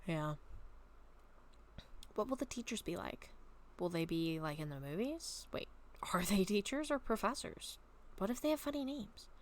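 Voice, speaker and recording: A woman chatting casually and reading her own story aloud at home, a little raspy from a long talking session, rambling with many soft ums. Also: mid-range voice, lively intonation, normal speed, pauses often. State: slightly raspy throat. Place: at home, bedroom.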